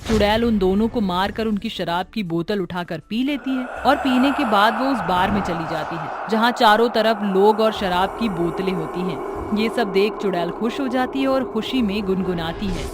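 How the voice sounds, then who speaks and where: A woman's voice: medium pitch, moderate pace, heavy background noise. A woman reading scripted story narration as the narrator of a moral tale. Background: background music.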